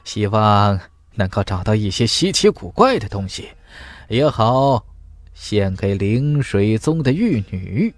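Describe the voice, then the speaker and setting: Man acting gruff old martial villain, sneering, suspicious, animated pitch, deliberate pace, fantasy audiobook. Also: no room sound. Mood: gloating. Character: menacing, suspicious, greedy old male cultivator.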